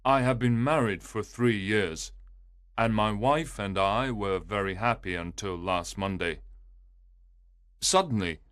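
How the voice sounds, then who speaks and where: Man doing a worried earnest visitor, lowish voice, dry close sound, storybook. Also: measured pace, a bit bright, no room sound. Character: upset male visitor, anxious and earnest.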